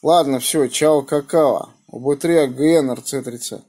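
A man with a slightly husky voice, chatting casually in slangy speech and giving call signs as an amateur radio operator, recorded indoors on a phone. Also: medium pitch, moderate pace, mellow. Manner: colloquial, slangy. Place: small room indoors beside radio equipment. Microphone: phone held near the radio.

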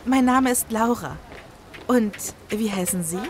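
A woman's voice: a little high, unhurried, slight background noise, lightly acted. A woman playing an anxious, polite newcomer doctor in an audio drama. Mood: polite, nervous. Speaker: voice actor.

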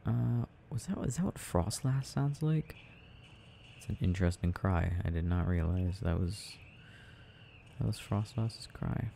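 Deep-voiced man, ASMR gaming ramble, unhurried, halting musing, faint background noise. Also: vocal fry, warm tone. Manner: murmured, halting, musing to himself. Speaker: audio performer playing a boyfriend gaming. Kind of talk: ASMR rambling while playing a video game.

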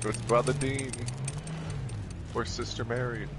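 A man's voice: mid-range voice, steady tone, measured pace. A man live streaming a video game, chatting casually with friends in a slangy, joking, sweary way. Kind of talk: casual gaming chat with friends. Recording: clear background game noise.

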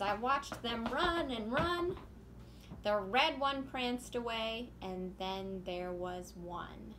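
A woman's voice: fairly high pitch, fairly bright tone. A woman in her thirties presenting storytime to young children with simple, instructive phrasing. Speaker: children's librarian and storytime host. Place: small room at home, indoors. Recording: home video with faint background noise.

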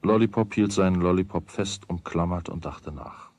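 An actor narrating a children's radio play as a wry storyteller with a husky voice. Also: male voice, deep voice, normal speed, a little mellow, no room sound, lightly acted. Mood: wry, matter-of-fact.

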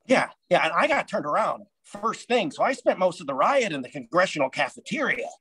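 An actor playing a worried male insurrectionist over a video call, husky voice. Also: high voice, sing-song, fairly quick, fairly bright tone, exaggerated voice. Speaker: actor in a comedy sketch. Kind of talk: video-call play reading. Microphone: video call webcam.

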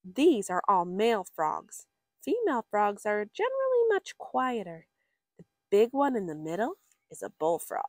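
A woman reading a children's nature picture book aloud with expressive, drawn-out emphasis for young listeners. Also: mid-range voice, dry recording, clean studio sound. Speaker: story reader for children.

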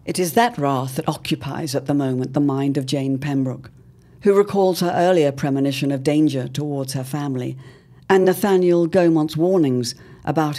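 A man narrating an old-time serial drama in a theatrical, portentous way with deliberate phrasing. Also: medium pitch, dark tone.

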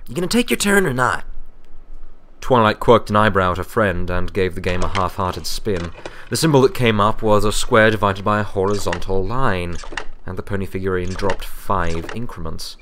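A male audiobook narrator with a lowish voice. He reads scripted fantasy prose in the manner of a storyteller. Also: fairly even intonation, moderate pace, fairly bright tone, a little hiss or hum.

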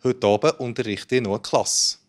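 A man's voice: mid-range voice, normal speed. A man in his thirties with a Bernese Swiss German accent, a German teacher giving short plain phrases. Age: 30s. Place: indoor studio.

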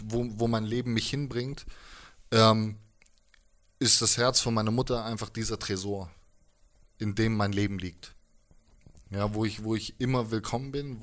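A man in his thirties with a fairly low voice gives a casual interview. He speaks in a halting, reflective way, close to a handheld mic in a living room. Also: a bit bright. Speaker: musician being interviewed. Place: living room with instruments, indoors. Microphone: handheld microphone held close.

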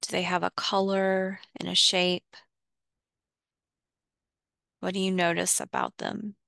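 A woman guides a visualization in short, measured phrases. Her delivery is very slow and a little low, with a creaky fry at the edges. The sound is dry, without room echo, and she leaves space between instructions. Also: completely flat delivery, pushed and bright. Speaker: guided meditation host.

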